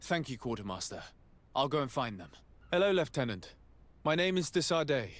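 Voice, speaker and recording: An actor playing an earnest, courteous diplomat in a video game, speaking in a polite, formal and measured way. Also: male voice, medium pitch, very animated, a bit bright, dry recording. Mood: composed, inquiring. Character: courteous, earnest male diplomat, composed investigator. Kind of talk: dramatic video game dialogue.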